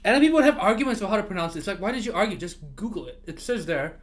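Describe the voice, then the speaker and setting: A man's voice: high-pitched, animated, rapid-fire. A man whose first language is not English, chatting casually on a livestream, ranting animatedly and swearing freely.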